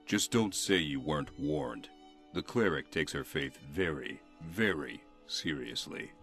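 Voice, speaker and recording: Weary fantasy-game guardsman, extremely low voice, dry and fed up, music underneath. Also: animated, measured pace, a bit bright, exaggerated voice. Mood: stern. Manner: dry, exasperated, sighing. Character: weary, stern city guardsman, fed up with troublemakers. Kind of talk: video game voice acting.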